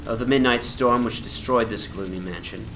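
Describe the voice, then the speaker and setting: A man's voice: mid-range voice, moderate pace, close to an everyday voice. A man voicing a haunted, reclusive dreamer in a dramatic gothic horror reading, speaking in a solemn, formal, brooding way. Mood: ominous, solemn. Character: Jervas Dudley, haunted, reclusive dreamer recounting his obsession. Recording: narration over a music soundscape.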